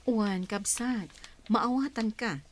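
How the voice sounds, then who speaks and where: Woman acting a gentle, reassuring Filipino adoptive mother. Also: medium pitch, takes it slowly, a little hiss or hum, natural voice. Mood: reassuring, earnest. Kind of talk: Filipino radio soap opera acting.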